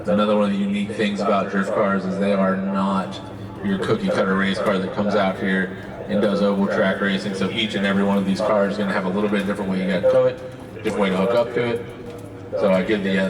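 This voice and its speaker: A man with a fairly low, very even voice calls a drifting event in a casual, explanatory way. The sound is echoey and distant, as heard from a tower, with loud crowd and engine noise around him. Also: fast talker. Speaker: motorsport commentator in the booth. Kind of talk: live drifting commentary. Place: announcer booth at an outdoor race track. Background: loud crowd and car engines.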